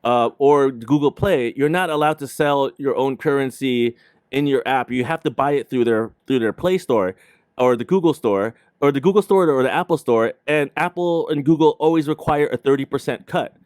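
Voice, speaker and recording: A man's voice: medium pitch, moderate pace, darkish tone, clean tone, dry recording, studio quality. A man hosting a casual livestream chat in an informal, slangy way. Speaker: host of a video game livestream show.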